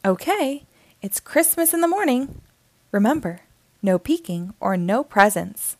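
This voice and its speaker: A woman voicing a playful, patient cartoon dog father in a storybook, talking in a gently instructive way. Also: fairly high pitch, big pitch swings, unhurried, no echo, full character voice.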